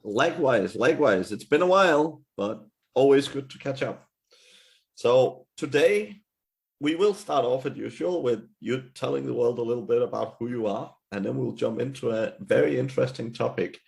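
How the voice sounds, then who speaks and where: A male podcast host with a gravelly, creaky voice at a middle pitch. He greets his guest in a friendly way, doubling up on phrases like "likewise, likewise.". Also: expressive, normal speed, darkish tone. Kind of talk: casual interview hosting.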